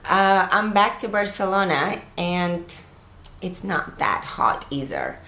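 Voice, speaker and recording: A woman's voice: fairly low pitch, big pitch swings, slow, bright, forceful tone, roomy sound. A woman in her thirties from Latvia, chatting casually through a makeup tutorial on her sofa, rambling with fillers. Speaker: beauty vlogger. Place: living room, on a sofa, indoors.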